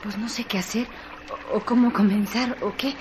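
A woman's voice: mid-range voice, fairly even intonation, normal speed, close to an everyday voice. An actress playing a meek, flustered, submissive young village woman in a radio drama, speaking timidly and hesitantly. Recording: clearly noisy radio drama track.

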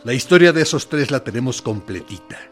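An actor in a radio play voicing a military interrogator who plays good cop, deliberate, condescending and mock-friendly, with menace in his theatrical pauses. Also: mid-range voice, animated, soft background music, natural voice. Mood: veiled threat. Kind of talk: dramatic radio play monologue.

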